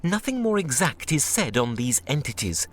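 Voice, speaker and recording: Bookish male translator, incredulous storyteller, very animated intonation, video game drama, music underneath. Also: on the high side, normal speed. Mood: sceptical storytelling. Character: scholarly, sceptical male translator of ancient books.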